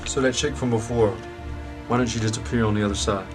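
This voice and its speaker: A man's voice: fairly low pitch, steady tone, fast, over music, natural voice. Man acting a blunt, defiant drug dealer. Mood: wary, questioning. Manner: blunt, streetwise, swearing. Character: defiant, foul-mouthed male drug dealer with a short fuse. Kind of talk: naturalistic screen drama acting.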